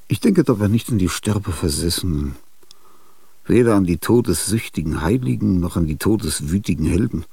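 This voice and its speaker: An actor in a radio drama playing a brooding aging musician obsessed with death, speaking slowly and reflectively in a gravelly, husky voice. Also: male voice, low-pitched, darkish tone, dry recording, recorded from a distance, full character voice. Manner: slow, reflective, philosophical.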